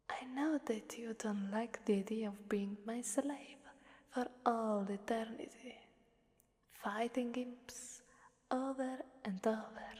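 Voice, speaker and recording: Woman as a slow, breathy, menacing demoness in cavernous reverb. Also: fairly high pitch, darkish tone. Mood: condescending. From Italy. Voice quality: breathy, often dropping into whisper. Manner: slow, teasing, condescending, drawn-out pauses. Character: menacing, bored, playful female Krampus demon with sinful appetite. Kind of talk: ASMR roleplay voice acting.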